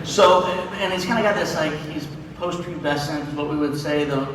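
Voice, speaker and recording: A man hosting a live stage panel interview in an animated, exclamatory way. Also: on the high side, moderate pace. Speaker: panel host. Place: large indoor stage, recorded from the audience. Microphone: distant camera picking up stage PA. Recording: echoey hall, distant audience phone, crowd noise.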